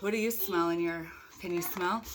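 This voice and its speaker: A woman's voice: lowish voice, steady tone, moderate pace, very noisy. A woman reading a picture book aloud in a playful, chatty way, with casual asides to young children. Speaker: storybook reader.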